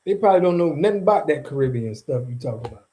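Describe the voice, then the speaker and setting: Animated, mocking man, slightly high, mellow. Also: quick pace, slight background noise. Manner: animated, slangy, mocking, laughs often. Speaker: streamer reacting to viewer chat. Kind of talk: casual livestream commentary.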